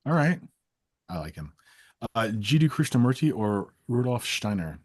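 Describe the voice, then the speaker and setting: A man with a husky edge and a lively, swooping delivery. He plays interviewer, firing off quick either-or choices and teasing his guest as he goes. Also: mid-range voice. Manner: playful, teasing. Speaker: interviewer, host. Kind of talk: rapid either-or quiz in casual chat.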